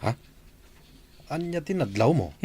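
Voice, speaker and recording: A man's voice: on the high side, animated, unhurried, mellow, husky. A man playing a curious, gossiping mansion worker in an Ilocano radio comedy drama. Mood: curious, regretful.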